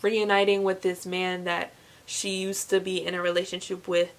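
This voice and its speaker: A woman's voice: fairly low pitch, little pitch movement, normal speed. A young woman chatting casually as a vlogger, gushing and emphatic, often repeating words for emphasis. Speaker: book reviewer vlogger. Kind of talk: casual chat to camera.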